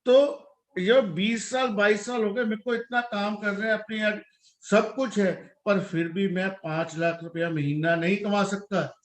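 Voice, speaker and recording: A man's voice: sits high, fairly even intonation, unhurried, reverberant. A man in his forties from India, a parent speaking earnestly and reflectively in a casual talk, mixing English words into his speech. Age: 40s. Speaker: parent guest.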